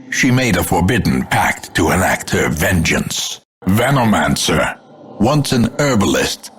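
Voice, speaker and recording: A grim gothic fantasy narrator for a video game, with a very low-pitched voice. He speaks very slowly and deliberately, giving each phrase grandiose, ominous weight in a theatrical announcer style. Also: a bit bright, slight background noise, heavily acted.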